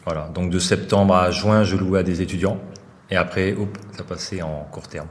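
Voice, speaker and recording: A relaxed man in his forties with a very low voice, speaking as a guest in a casual chat. He laughs easily and trails off at the ends of sentences. The sound carries a long hall echo over faint background noise. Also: moderate pace. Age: 40s. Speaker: guest investor. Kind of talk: casual interview.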